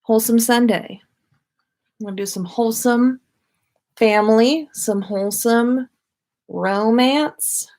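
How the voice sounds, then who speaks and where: Unhurried woman chatting on a livestream. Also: fairly high pitch, darkish tone. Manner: chatty, informal, greeting listeners by name. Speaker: livestream host and podcaster. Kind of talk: casual livestream chat.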